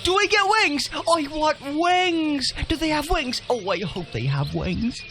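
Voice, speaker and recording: An actor playing a daft, whining peasant in a comic video game, simple-minded, excitable and earnest. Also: male voice, very high-pitched, a little slow, heavily acted. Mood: childlike eager. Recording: loud ambient noise behind.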